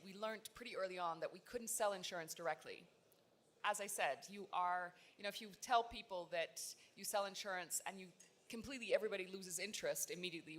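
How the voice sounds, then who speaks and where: A woman from Tanzania, a startup founder, talking on a panel in a loud conference hall, telling stories in a relaxed, self-deprecating way with many fillers. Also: on the low side. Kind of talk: conversational panel answer.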